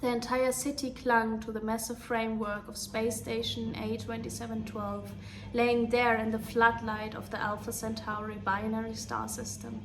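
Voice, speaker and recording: A woman with a German accent reading her novel aloud in a hushed, half-whispered bedtime-story voice. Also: fairly high pitch, little pitch movement, normal speed, slight background noise, rough recording.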